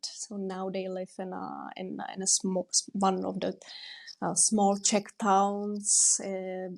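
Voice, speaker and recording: A young woman director answering interview questions, pausing often to search for words. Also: medium pitch, darkish tone. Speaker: documentary director.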